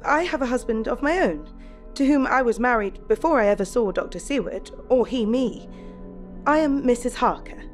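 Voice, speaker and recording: An actress playing a gracious, self-possessed young wife in an audio drama, speaking politely and formally. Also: female voice, fairly high pitch, animated, normal speed, soft background music, natural voice. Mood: polite, composed.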